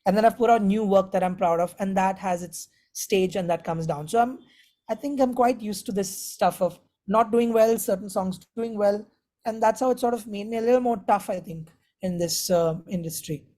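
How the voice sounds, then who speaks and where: A man's voice: high voice, normal speed, warm tone. A man giving conversational answers as a musician being interviewed. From India.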